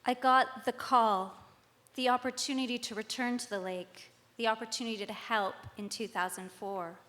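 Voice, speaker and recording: A woman reads a scripted spoken-word piece on stage, with deliberate, rhetorical phrasing and short dramatic lines. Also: mid-range voice, fairly bright tone. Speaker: reader at a live tribute performance.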